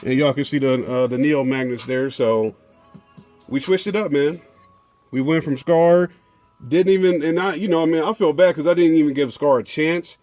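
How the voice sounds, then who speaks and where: A man's voice: on the high side, normal speed, a little mellow, no echo, soft background music. A man, chatting casually as he unboxes car audio gear, rambling with lots of fillers and restarts.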